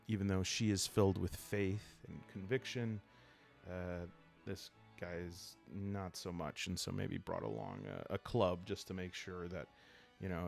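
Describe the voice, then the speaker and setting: A man hosting a solo role-playing game channel, explaining in a calm, unhurried ASMR style with halting, reflective phrasing. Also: sits low, gravelly.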